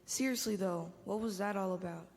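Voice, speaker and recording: In a reverberant church hall, a young woman plays a teenage girl with a medium-pitched voice. She reads her part as the sarcastic skeptic of a reader's theater. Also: moderate pace, darkish tone, close to an everyday voice. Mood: puzzled, skeptical. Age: teenager or young adult.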